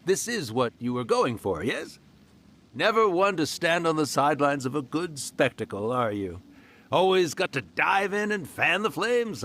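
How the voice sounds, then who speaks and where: A man's voice: medium pitch, fairly bright tone, a little hiss or hum. A man voicing a mischievous old male fox spirit in a video game, theatrical and boastful. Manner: theatrical, hammy villain voice, then genial and teasing. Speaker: yokai spirit character.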